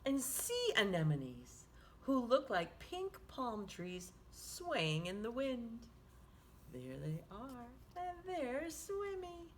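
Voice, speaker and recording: A woman hosting children's storytime, reading a picture book aloud in an animated, sing-song manner. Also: a little high, takes it slowly, slight background noise. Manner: animated, sing-song, with hushed asides to listeners.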